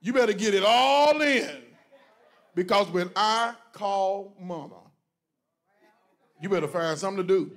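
A middle-aged pastor with a strikingly high voice for a man, speaking to his church from the stage on a handheld mic. He talks in a joking, preachy, animated way, punching lines with loud bursts. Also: a little slow, pauses often. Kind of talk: preachy conversational talk to a congregation. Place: church stage before a congregation, indoors.

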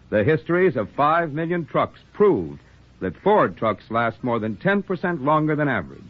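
Husky, animated male radio pitchman. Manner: emphatic, declarative. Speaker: commercial announcer. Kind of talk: scripted 1940s radio advertisement. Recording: muffled 1940s radio recording.

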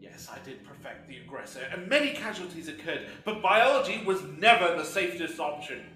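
A man's voice: high voice, lively intonation, fairly quick, pushed and bright. A man playing a gloating, boastful mad-scientist villain in an audio drama, pompous and given to monologues. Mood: grandiose. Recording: roomy echo with music behind.

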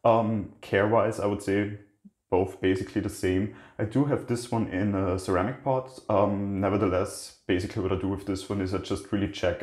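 Male host, very low voice, loose chatty delivery, echoey kitchen. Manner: relaxed, rambling with frequent restarts and fillers. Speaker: plant channel host. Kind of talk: casual plant tour walkthrough. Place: indoor kitchen by a windowsill.

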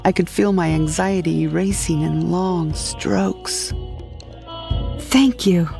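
A woman's voice: fairly low pitch, steady tone, measured pace, vocal fry. A woman narrating a first-person comic science fiction audio drama. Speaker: narrator and lead character. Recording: music behind the voice.

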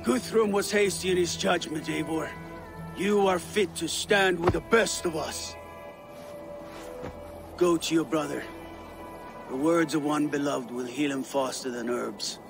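An actor playing a steady, grave Viking war leader in a video game, measured and formal. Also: male voice, fairly high pitch, vocal fry, music in the background. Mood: grave respect. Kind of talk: dramatic video game acting.

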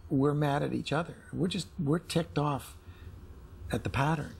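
Gravelly, halting middle-aged man. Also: fairly high pitch, a little mellow, a little hiss or hum. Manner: halting, repeats words as he searches. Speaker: husband in couples counselling. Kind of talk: reflective conversational talk. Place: indoor studio set with armchairs.

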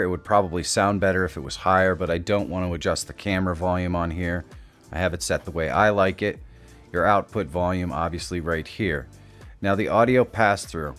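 A man hosting a software tutorial, explaining things step by step as narration. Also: sits low. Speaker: tutorial host. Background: background music.

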